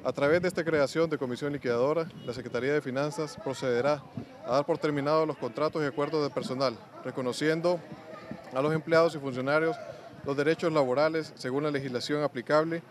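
A male spokesman reads an official statement at a brisk clip. His voice is slightly high, and people murmur around him outdoors. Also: steady tone. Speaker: government adviser, spokesman. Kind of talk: reading a formal statement aloud. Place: outside a gated office building. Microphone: handheld press microphones. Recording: outdoor press scrum with crowd murmur.

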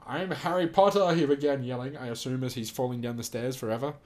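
A man's voice: mid-range voice, fast, darkish tone. Male host, casual read-and-react, amused, mock-serious, freely profane. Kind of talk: casual reading aloud and reacting.

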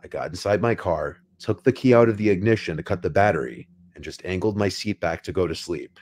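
A man narrating a scripted first-person scary story in a measured, unhurried way. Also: low voice, animated, darkish tone, slight background noise. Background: music behind the voice.

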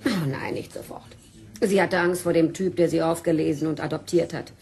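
A woman's voice: sits low, fairly even intonation, moderate pace, darkish tone. An actress playing a worldly, teasing woman, flippant and cool, in a German-style radio drama. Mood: chatty, knowing.

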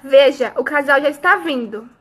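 Female actor as snobbish society guest, high voice, amateur radio melodrama. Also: animated, normal speed. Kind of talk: amateur radio drama acting.

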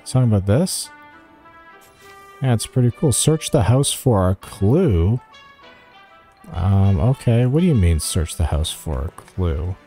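A man's voice: lowish voice, lively intonation, a little slow, pauses often, warm tone. A man giving a casual video game walkthrough, thinking aloud and chatting to the characters as he plays. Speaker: gaming guide host. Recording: music behind the voice.

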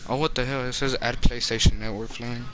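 A man's voice: mid-range voice, steady tone, brisk, noisy background. A teenage boy streaming a shooter game, chatting casually with friends in a sarcastic, teasing way and swearing often. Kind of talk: casual gaming chat. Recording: loud game music behind.

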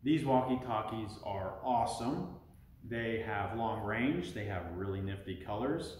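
American dad in a roomy home. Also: male voice, lowish voice, a little slow, darkish tone. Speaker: father hosting a family product review. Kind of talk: casual family vlog talk. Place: living room at home. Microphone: camera a short distance away. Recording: roomy home sound with music behind.

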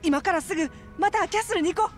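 A woman's voice: extremely high pitch, fast, soft background music. A woman voicing a brash, coaxing female fight promoter with a Kansai accent in a video game. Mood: urgent coaxing. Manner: animated, coaxing, emphatic. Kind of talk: video game cutscene acting.

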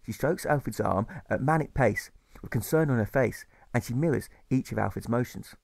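A man reads a scripted rhyming story in a mid-pitched voice. His storytelling is measured and leans on the rhymes, recorded dry and close. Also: gravelly, darkish tone, no room sound. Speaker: author narrating his audiobook.

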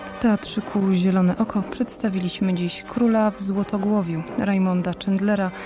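A woman's voice: medium pitch, fairly even intonation, normal speed, music in the background. A woman reading credits formally as a radio announcer.

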